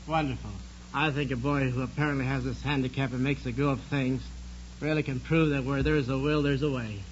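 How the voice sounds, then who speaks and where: A dulled 1950s broadcast with a faint noisy hum holds the voice of an American man. He is a priest answering a quiz host's questions at an ordinary male pitch, sincere and courteous as he explains. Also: steady tone, a little fast. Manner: earnest, polite, explanatory. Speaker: priest, quiz show contestant. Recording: dull, noisy, humming 1950s broadcast recording.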